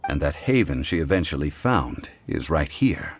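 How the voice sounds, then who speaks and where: Very low, unhurried scholar-consultant, game dialogue, lively contour, light score behind. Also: male voice, a little hiss or hum. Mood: steady, measured. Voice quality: measured, formal and deliberate. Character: calm ancient male consultant, scholarly, composed. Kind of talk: video game voice acting. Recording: game score playing faintly.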